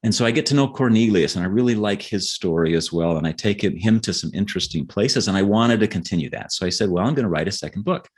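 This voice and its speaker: A middle-aged man giving conversational interview answers as a guest author, telling his story haltingly and repeating words as he thinks. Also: on the low side, lively intonation. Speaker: guest novelist.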